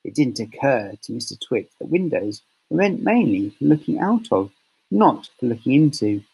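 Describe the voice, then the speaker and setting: A man reading a children's story aloud with expressive, deliberate phrasing. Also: medium pitch, creaky, slightly rough, low-quality recording. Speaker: storybook reader.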